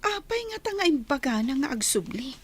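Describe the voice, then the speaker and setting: A woman's voice: high-pitched, very animated, brisk, slight background noise. A young woman playing an earnest, respectful village girl in a radio drama, in a plaintive, polite manner. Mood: flustered. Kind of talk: Ilocano radio drama acting.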